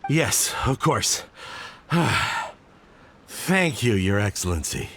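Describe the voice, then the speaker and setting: A man's voice: on the low side, big pitch swings, very slow, gravelly, a bit bright, a little hiss or hum, heavily acted. A man voicing a flustered, pleading merchant in an anime game, with a stammering, agitated manner. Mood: relieved.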